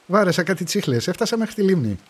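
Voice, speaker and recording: A man's voice: high voice, sing-song, husky, heavily acted. A man acting as a short, nosy hunter and shop customer in a radio drama, jaunty and colloquial. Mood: casual. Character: short, smiling, bushy-haired male hunter, nosy customer. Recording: very noisy background with clicks.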